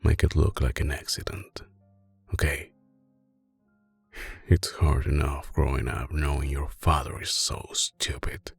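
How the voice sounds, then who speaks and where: Mafia boss played by a man for ASMR roleplay: Italian accent, very low register, unhurried and intimate, quietly menacing, devoted to his lover. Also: level tone, dry recording, full character voice. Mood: cold, commanding. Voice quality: deep, creaky. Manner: slow, deliberate, controlled, intimate. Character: soft-spoken, menacing, doting male mafia boss boyfriend. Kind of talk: ASMR roleplay, soft spoken with whispering. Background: music.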